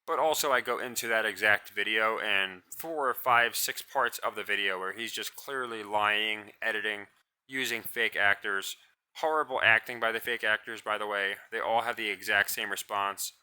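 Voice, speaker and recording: A man's voice: fairly low pitch, moderate pace, clean tone, low-quality recording. A man ranting in a blunt, scornful way in a solo commentary, rambling and restarting his sentences. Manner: describes own voice as monotonous. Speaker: commentary channel host.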